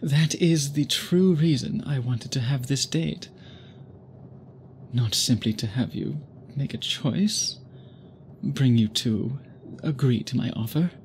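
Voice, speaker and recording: A man performs as an immortal male vampire suitor, obsessed and weighed down by guilt. His medium-pitched voice moves very slowly in formal, halting phrases, with a brooding intimacy suited to an ASMR drama. Noticeable background ambience sits behind him. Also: a little mellow, a little hiss or hum. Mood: yearning, possessive.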